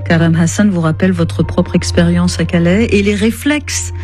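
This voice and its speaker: A woman presents a radio interview in a low, warm, mellow register. She is articulate and measured, laying out her guest's account in orderly phrases. Faint music sits behind her. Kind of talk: broadcast radio interview, reading excerpts aloud.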